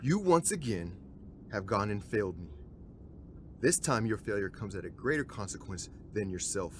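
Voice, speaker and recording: A man's voice: fairly low pitch, sing-song, moderate pace. A man playing a cold, stern middle-aged father and agency commander in an audio drama, formal and severe. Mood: stern, angry. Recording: clear ambient noise behind voice.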